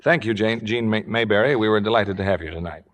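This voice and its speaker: A man announcing in polished, formal 1950s radio style, as host reading sponsor pitches and cast introductions. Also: fast talker.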